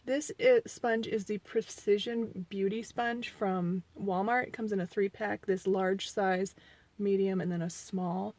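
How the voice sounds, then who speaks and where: A woman in her forties talks through a makeup review in a chatty, self-deprecating ramble, her voice sitting in an ordinary middle pitch. She is a little sniffly from allergies. The sound is dry and close, with no room echo. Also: steady tone, a little slow. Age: 40s. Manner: quieter and mumbling while applying makeup. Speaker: beauty vlogger.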